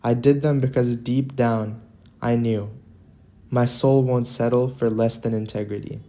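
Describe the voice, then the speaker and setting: A man narrating a scripted motivational monologue in an earnest, deliberate way, leaving dramatic pauses between phrases. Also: medium pitch, fairly even intonation, dark tone.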